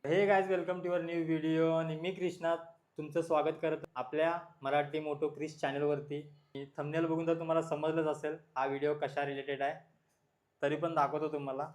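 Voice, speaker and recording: Higher-pitched man chatting casually in vlog style. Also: little pitch movement, moderate pace, darkish tone. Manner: informal, repetitive, explains while showing things. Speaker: vlogger, motorcycle channel host. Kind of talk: casual product showcase chat to viewers.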